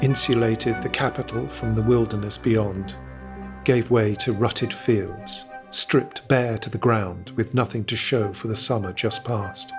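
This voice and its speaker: A man playing the intense, expressive narrator of a period melodrama in an audio drama, telling it in a literary style. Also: fairly low pitch, a little slow, music in the background. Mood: brooding.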